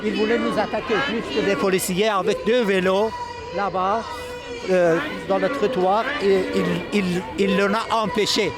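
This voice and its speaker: A man at an outdoor protest speaking haltingly in a street interview, with many pauses and fillers. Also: high voice, fairly even intonation. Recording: crowd and music behind.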